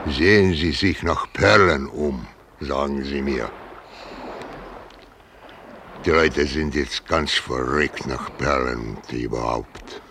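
An actor playing a gruff, irritable, cursing merchant sea captain in a radio drama, grumbling and blustering. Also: male voice, rumbling low, a bit bright, a little hiss or hum, exaggerated voice. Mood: scornful grumbling.

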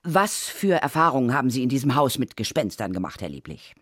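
A woman playing a brisk, reassuring ghost hunter with a matter-of-fact, insistent manner in a children's audio drama. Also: extremely low pitch, sing-song, husky, no room sound, clean studio sound, exaggerated voice. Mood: businesslike.

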